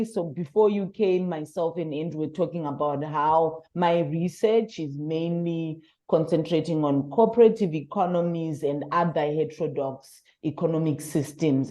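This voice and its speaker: A woman's voice: low voice, warm tone. A woman with a Southern African accent interviewing in a conversational, enthusiastic and affirming way. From South Africa, born in Zimbabwe. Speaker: interviewer, researcher.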